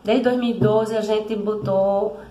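A woman's voice: medium pitch, steady tone, unhurried, mellow. A woman, telling a personal story to the camera in a chatty, heartfelt way with frequent self-corrections, recorded at close range in a small room at home. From Brazil, Recife. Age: 50s. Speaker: motorcycle travel vlogger. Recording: echoey room.

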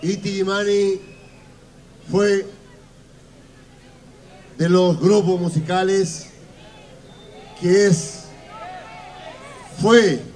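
A man giving a formal ceremonial speech on an outdoor festival stage, speaking in a slow, measured, halting way. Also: sits high, steady tone, darkish tone, some chatter behind.

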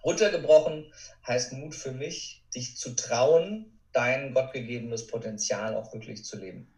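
A man's voice: medium pitch, a little slow, darkish tone. A man speaking as a coach and interview guest, earnest and motivational in a conversational way, repeating for emphasis. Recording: roomy echo, distant from mic.

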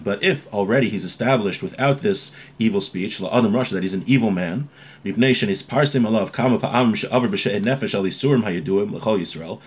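A man around forty giving an informal religious lesson in a conversational way, reading Hebrew phrases and explaining them with everyday examples, recorded at a desk in a home room. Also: mid-range voice. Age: 30s to 40s. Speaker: religious teacher. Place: small home room, indoors. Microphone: webcam or device mic at desk distance.

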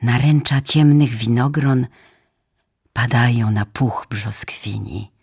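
Slow, husky woman reciting poetry. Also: very deep voice, a little mellow. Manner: slow, deliberate phrasing in short measured lines. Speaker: poetry reader. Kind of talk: solemn dramatic poetry recitation, audiobook. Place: radio theatre studio.

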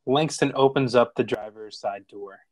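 A man's voice: mid-range voice, moderate pace, a little mellow, no echo. A man narrating the stage directions of a screenplay at a table read.

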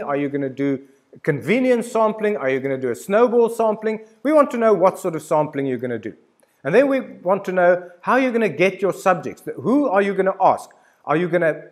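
A man in his sixties giving an informal, instructional lecture, talking directly and emphatically to the listener with storytelling examples. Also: high-pitched. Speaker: university lecturer. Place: indoor studio with plain backdrop.

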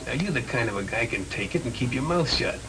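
An actor playing a shifty, slangy small-time crook in 1930s film style, with an American accent. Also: male voice, medium pitch, lively intonation, fast talker, a crowd in the background, close to an everyday voice, poor audio. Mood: sly, wheedling. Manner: slangy tough-guy talk. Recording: old 1930s film soundtrack, background murmur.

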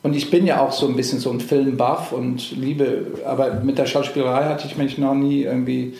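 Gravelly-voiced man, hesitant and roomy. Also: mid-range voice, fairly even intonation, a little fast, dark tone. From England. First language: English. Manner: hesitant, many fillers and restarts. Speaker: actor, podcast guest. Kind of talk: casual interview chat.

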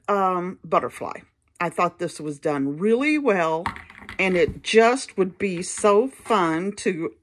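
A woman host casually showing off her thrift finds, chatty and rambling with lots of fillers and restarts. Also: fairly low pitch. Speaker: vintage reseller host. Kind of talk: casual haul show-and-tell narration.

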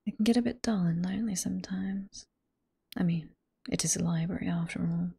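A woman with a British accent playing a flirty, teasing, sweet head librarian boss in a whispered ASMR roleplay, soft and coy, close to the microphone. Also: on the low side, fairly quick, gravelly, husky, dry recording, heavily acted. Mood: welcoming, flirty. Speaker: voice performer in roleplay.

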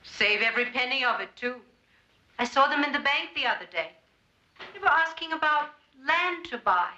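An actress playing a sharp, matter-of-fact prairie farm wife in a television period drama. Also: female voice, high-pitched, expressive, normal speed. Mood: brisk, needling. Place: farmhouse kitchen set. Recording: roomy, dull, faintly noisy TV soundtrack.

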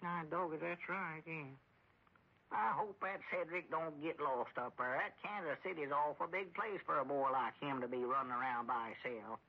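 An actor playing a muddled, gullible old storekeeper with a rural Southern American accent, in a folksy, rambling radio comedy style. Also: male voice, fairly high pitch, moderate pace, creaky, slightly rough. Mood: puzzled. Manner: rambling, folksy, easily confused. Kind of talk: 1930s-40s radio comedy acting. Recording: dull old radio recording.

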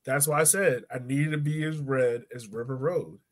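A man's voice: medium pitch, normal speed. A man in his thirties with an American accent, hosting a casual sports chat in a jokey, opinionated way. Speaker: podcast host. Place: room at home. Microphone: video call.

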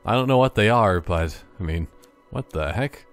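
A man's voice: fairly low pitch, expressive, normal speed, faint music behind. A man giving casual, jokey gameplay commentary, thinking aloud and wandering off on tangents. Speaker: gaming YouTuber.

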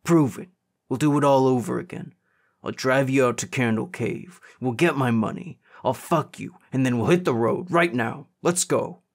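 Male narrator as angry teenage boy, gravelly, snarling, demanding, hardened, audiobook character. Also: medium pitch, unhurried. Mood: demanding, confrontational.

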